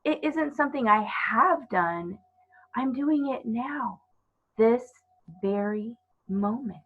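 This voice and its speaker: A woman's voice: on the high side, lively intonation, slow pace, slightly rough, narrow, tinny audio, voice pushed up, exaggerated voice. A woman voicing a timid, guilt-ridden male faun in a children's storybook, tearful, pleading and melodramatic. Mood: anguished confession.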